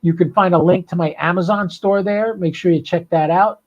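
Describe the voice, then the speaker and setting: A man's voice: high voice, fairly even intonation, normal speed, muffled recording. An American man hosting a casual, unscripted livestream, talking in a folksy, rambling, informal way. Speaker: podcast and livestream host.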